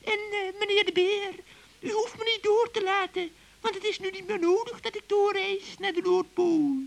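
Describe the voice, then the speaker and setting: Guy doing a super high shrill old witch, sly, whiny and sucking up, kids radio play. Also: steady tone, creaky. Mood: fawning, wheedling. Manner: drawn-out pleading and fawning.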